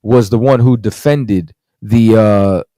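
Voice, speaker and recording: A man's voice: on the low side, takes it slowly, warm tone, dry recording, rough recording. A man talking casually on a podcast as a guest author, rambling with lots of "you know what I'm saying".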